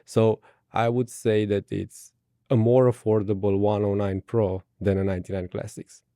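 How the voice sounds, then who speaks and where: A man with a Romanian accent speaks in a low, mellow voice. He gives brief, measured technical answers in an interview, recorded dry and close. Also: little pitch movement, dry recording. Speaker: guest.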